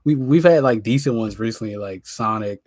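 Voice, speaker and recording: A man's voice: lowish voice, brisk, a little mellow, no room sound, studio quality. An American man co-hosting a pop culture podcast, talking casually and informally with plenty of slang and opinions. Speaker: podcast co-host.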